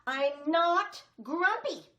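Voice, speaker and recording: A woman voicing a grumpy, stubbornly defensive male monkey in a children's storybook, loud and indignant. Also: very high-pitched, animated, very slow, pitched above the performer's own voice, exaggerated voice. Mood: indignant denial.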